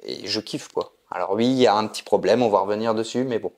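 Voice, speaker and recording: A man's voice: lowish voice, fast talker. French guy co-hosting an unboxing, jokey, laughs a lot. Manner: joking, laughs often. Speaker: co-host of a collector channel. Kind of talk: casual unboxing chat.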